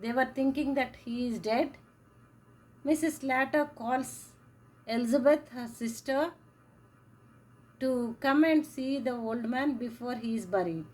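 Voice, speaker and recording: A woman teacher giving a slow lecture, repeating key phrases as she explains. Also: sits high, darkish tone, rough recording. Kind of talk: classroom lecture.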